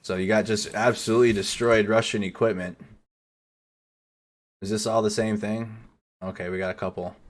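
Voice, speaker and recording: A man's voice: fairly low pitch, fairly even intonation, moderate pace, long pauses. A man giving casual live commentary over war footage as a news streamer, swearing and stumbling over foreign names.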